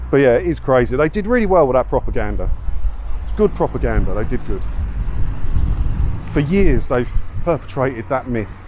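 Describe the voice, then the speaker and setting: A man with a mid-pitched voice talks casually to his viewers, reading out and answering comments. He repeats himself to press a point and tags questions onto his sentences. Wind and passing traffic are clearly audible on the outdoor recording. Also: darkish tone. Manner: conversational, repeats points for emphasis, rhetorical tag questions. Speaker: vlogger. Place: outdoors, walking around a seaside town. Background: wind, passing cars, street ambience.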